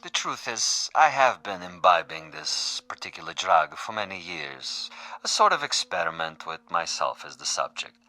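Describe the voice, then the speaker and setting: A gleeful, sadistic gentleman killer in a radio suspense play. The voice is deep and bright-edged, swinging widely as it relishes each formal, precise phrase. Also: very animated, moderate pace, full character voice, low-quality recording. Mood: smug, amused. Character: urbane sadistic assassin, a gleeful gamesman taunting his prey. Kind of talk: vintage suspense radio drama monologue.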